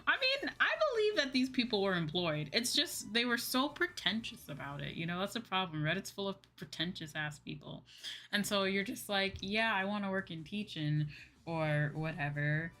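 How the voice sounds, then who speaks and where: Young woman, VTuber-style streamer. Bright tone, rambling casual chat with repeated phrases, music playing behind. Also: medium pitch, expressive, normal speed. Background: background music.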